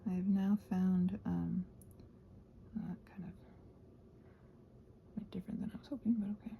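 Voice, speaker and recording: A woman rambling casually as a video host, thinking aloud and trailing off, her voice husky and breathy and often sinking toward a whisper. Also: lowish voice, fairly even intonation, moderate pace, narrow, tinny audio, a little hiss or hum. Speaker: video host and ASMR creator. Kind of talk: casual rambling craft chat.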